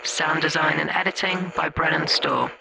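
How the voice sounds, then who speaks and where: A man's voice: a little high, flat delivery, normal speed, gravelly, rough recording. A man reading scripted credits as an announcer. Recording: loud music bed.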